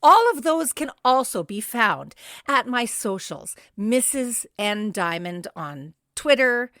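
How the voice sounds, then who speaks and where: A woman's voice: on the high side, very animated, slow pace, a bit bright, dry recording, clean studio sound. A woman, co-hosting a podcast in a playful, teasing, chatty way. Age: 50s. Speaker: podcast co-host. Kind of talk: casual chat.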